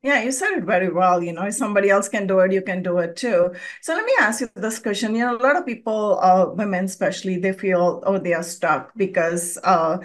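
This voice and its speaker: A woman's voice: medium pitch, a little fast, hardly any pauses. A woman hosting an interview podcast, asking her guest long, winding questions. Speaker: podcast host.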